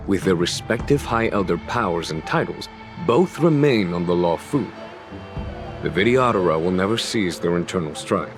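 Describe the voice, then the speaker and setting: Low-voiced composed general. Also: male voice. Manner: measured, formal, diplomatic. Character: composed, wise male general, courteous and wry. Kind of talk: video game voice acting. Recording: music behind the voice.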